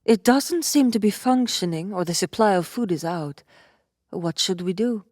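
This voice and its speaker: A woman's voice: mid-range voice, animated, darkish tone, no room sound, studio quality, lightly acted. A woman playing a cool, deadly female warrior, speaking formally and matter-of-factly in a cinematic audiobook. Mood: cool, questioning. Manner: formal, measured, matter-of-fact.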